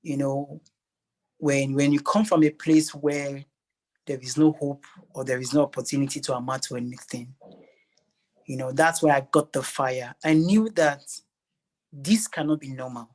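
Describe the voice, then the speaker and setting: A man's voice: mid-range voice, fairly even intonation, normal speed, a little mellow, rough recording. A man with a Nigerian accent speaking earnestly and emphatically as a motivational interview guest, repeating phrases for effect.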